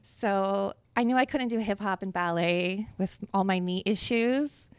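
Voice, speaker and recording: A woman's voice: medium pitch, unhurried, clean tone, dry recording. A woman in her thirties from Florida, telling her story candidly as a guest at a live podcast interview. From Florida, USA. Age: 30s. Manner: candid, chatty, laughs easily. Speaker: guest dancer. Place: live podcast event in Miami. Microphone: live event microphone.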